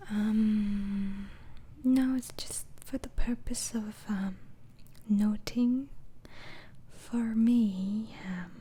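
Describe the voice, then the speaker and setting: A woman whispering in a breathy ASMR roleplay as a patient doctor, asking questions gently and hesitantly. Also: mid-range voice, steady tone, extremely slow. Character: polite, patient female asylum doctor interviewing a patient.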